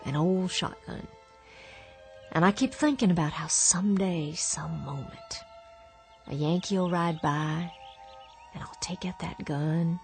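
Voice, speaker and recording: A grieving widow in a radio play, low-pitched, soft and hushed. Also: female voice. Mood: vengeful. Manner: quiet, grieving, turns hushed and vengeful. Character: lonely, bitter war widow on a ruined farm. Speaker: actress in a radio drama. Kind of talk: radio drama acting.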